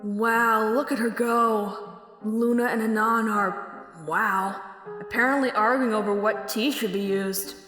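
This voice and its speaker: A woman's voice: a little high, fairly even intonation, unhurried, fairly bright tone. A woman voicing a brash, lusty adventurer pegasus mare who mutters cocky asides to herself in a comedic audio story. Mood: wry, scheming. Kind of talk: character acting in a comedic audio story reading. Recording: roomy reverb over background music.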